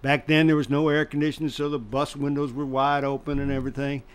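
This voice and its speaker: An older man from Chicago telling baseball stories in casual conversation, animated and laughing as he goes. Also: on the high side, fast talker, clean tone. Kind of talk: casual storytelling.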